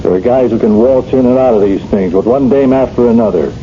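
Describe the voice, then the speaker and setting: A man's voice: mid-range voice, fairly quick, dark tone, slight background noise, close to an everyday voice. A man playing a stern, plain-spoken friend in a 1970s radio drama, talking in a blunt, slangy way. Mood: stern, concerned. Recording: muffled old radio broadcast recording.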